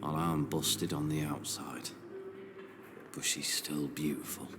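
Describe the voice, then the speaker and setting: An actor playing a bitter, weary, scarred war veteran with deadpan humour in a video game. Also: male voice, extremely low pitch, slow pace, background noise, full character voice. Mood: wry, wistful. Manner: deadpan, sardonic, dark humour. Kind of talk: video game dialogue acting.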